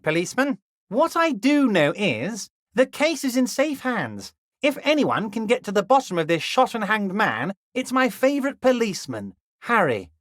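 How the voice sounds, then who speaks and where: A man playing a smug, wily old union boss in a video game, folksy and insinuating, with mock modesty and drawn-out emphasis. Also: high-pitched, a bit bright, no room sound, clean studio sound. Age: older. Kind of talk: video game character dialogue.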